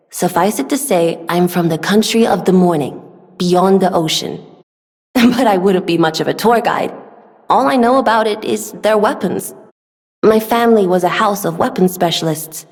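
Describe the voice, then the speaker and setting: A young woman voicing a gentle, earnest, polite weapons smith in a video game, soft-spoken and given to sighs. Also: on the low side, close to an everyday voice. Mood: reflective, composed. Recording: long hall reverb.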